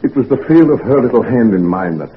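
An actor playing an anguished, secretive convalescent gentleman doctor in a brooding, melodramatic 1940s radio drama style. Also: on the low side, expressive, fast, close to an everyday voice. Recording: noisy, tinny 1940s radio recording.

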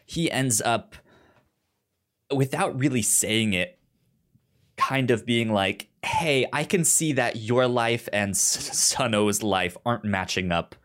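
A man co-hosting a podcast in casual conversation, halting and often repeating the first sounds of words. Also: mid-range voice, expressive, a bit bright. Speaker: podcast co-host. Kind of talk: casual TV recap discussion.

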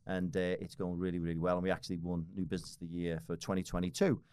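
Deep fast-talking Liverpool man. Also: flat delivery, a little mellow, clear, resonant tone, dry recording, studio quality. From Liverpool, England. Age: 40s. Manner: rambling, many restarts and fillers, swears casually. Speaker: podcast guest. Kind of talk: casual podcast conversation.

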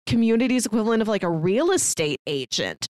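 A woman's voice: mid-range voice, very animated, fast talker, darkish tone, no room sound. Casual woman podcaster with a dry, close sound. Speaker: podcast co-host. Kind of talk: casual book discussion.

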